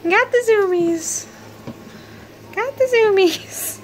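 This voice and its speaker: A woman's voice: very high voice, slow, slight background noise, pitched above the performer's own voice. A woman voicing a goofy young cat. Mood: giddy. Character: playful young male pet cat.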